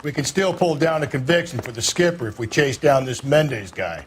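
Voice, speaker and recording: Sardonic veteran American homicide cop played by a male actor, hard-drinking, brusque, medium-pitched, for a crime video game. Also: expressive, a little fast, a little hiss or hum, natural voice. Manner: gruff, sardonic, dismissive. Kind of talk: dramatic video game acting.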